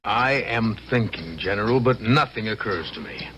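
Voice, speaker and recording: A man's voice: fairly low pitch, expressive, moderate pace, pushed and bright, highs cut off. A man playing an earnest, doubting, loyal army major in a radio drama, speaking formally and with deference. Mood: earnest, deferential. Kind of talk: 1970s radio drama acting. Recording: noisy vintage radio broadcast.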